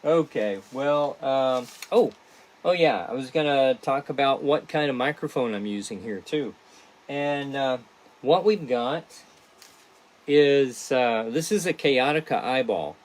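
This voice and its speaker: Slow-paced, halting man chatting to viewers. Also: mid-range voice. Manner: halting, rambling delivery. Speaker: podcast creator and host. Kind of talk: casual livestream chat to viewers.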